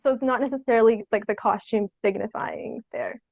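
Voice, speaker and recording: A young woman from Canada, chatting casually in an interview as a theatre artist, haltingly thinking aloud with lots of "like". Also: fairly high pitch, fairly even intonation, no room sound, narrow, tinny audio. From Sault Ste. Marie, Canada. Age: young adult.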